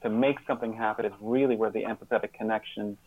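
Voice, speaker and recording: A man being interviewed as a guest, speaking reflectively and haltingly, often repeating words and restarting his sentences. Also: lowish voice, steady tone, no room sound, narrow, tinny audio.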